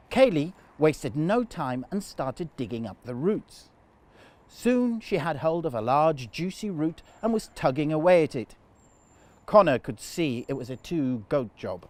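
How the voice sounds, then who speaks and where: A man telling a children's story in an animated storytime narration style. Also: on the high side.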